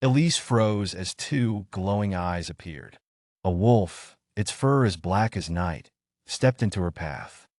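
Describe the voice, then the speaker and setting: A male storyteller reads a children's bedtime tale in a low voice. His narration is theatrical, with big swings in intonation, and the sound is dry and close. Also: expressive, slow, a little mellow, no echo.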